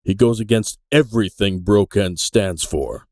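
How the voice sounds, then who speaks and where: A man playing an aging, hardened and defiant keeper detective with a gravelly voice in a fantasy audio drama, grim and intense. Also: on the low side, measured pace, warm tone, dry recording, studio quality. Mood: indignant.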